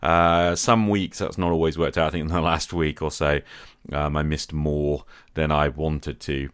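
Very deep-voiced man hosting a podcast. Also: little pitch movement, moderate pace, clear, resonant tone, no room sound, clean studio sound. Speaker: podcast host. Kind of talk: conversational podcast monologue.

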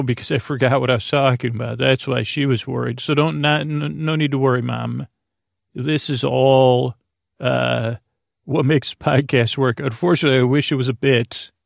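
A man hosting a bedtime podcast, rambling through a halting, mumbling monologue with a droning, creaky voice. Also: mid-range voice, no room sound, clean studio sound. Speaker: podcast host.

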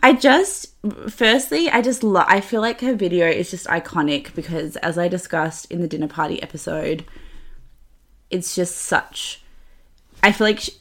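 Giggly woman in her twenties. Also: fairly low pitch. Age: 20s. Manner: giggly, quick to laugh. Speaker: podcast co-host. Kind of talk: casual chat.

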